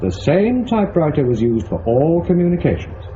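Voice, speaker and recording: A man's voice: fairly high pitch, big pitch swings, normal speed, dark tone. A man acting a fussy forensic scientist in a spy radio drama, pedantic, testy and emphatic. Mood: insistent. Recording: muffled lo-fi audio, faint noise.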